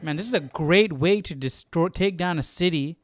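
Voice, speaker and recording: A man's voice: a little high, normal speed. A man hosting a live Bible study, reacting casually and incredulously, often repeating himself.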